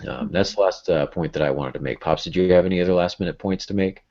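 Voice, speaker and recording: A man hosting a casual podcast, talking in a rambling, hesitant way with a gently joking touch. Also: low voice, fast talker. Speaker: podcast host. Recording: dull, lo-fi podcast audio.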